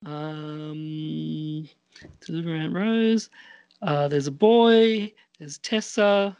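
A woman's voice: low voice, fairly even intonation, extremely slow, darkish tone, dry recording, highs cut off. A woman in her forties chatting casually as a podcast co-host, rambling a little hesitantly. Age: 40s. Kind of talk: casual chat.

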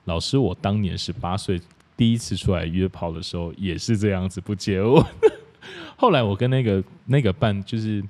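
A man's voice: fairly low pitch, moderate pace, darkish tone. A man with a Taiwanese Mandarin accent hosting a podcast in a casual, frank chat style. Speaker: podcast host.

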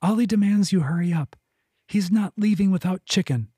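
A man's voice: high-pitched, moderate pace, dark tone, slightly rough, no echo, studio quality, pitched above the performer's own voice. A man voicing a cheeky, greedy female cat who makes demands in a raised voice.

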